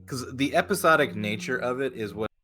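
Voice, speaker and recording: Lively man co-hosting a casual podcast. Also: medium pitch, a little fast, crowd noise. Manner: joking, conversational. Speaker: podcast co-host.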